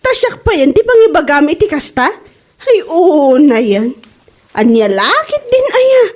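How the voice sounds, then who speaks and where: Sharp-tongued gossiping village woman female actor, Ilocano accent, very high and animated, mocking, audio comedy. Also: a little slow. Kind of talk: Ilocano comedy radio drama.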